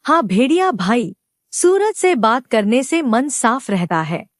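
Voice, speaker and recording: A woman voicing a gentle, cautious, wise female goat in a cartoon moral story, earnest and polite. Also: on the high side, very animated, takes it slowly, dry recording, clean studio sound. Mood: polite, wary.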